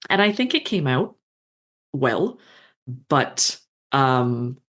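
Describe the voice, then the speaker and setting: A guest author talks through ideas in a loose, rambling way. Sentences often restart, and "um" and "like" fill the pauses. The voice sits at an ordinary middle pitch. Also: very animated, a bit bright. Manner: thoughtful, rambling with fillers and restarts. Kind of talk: casual chat.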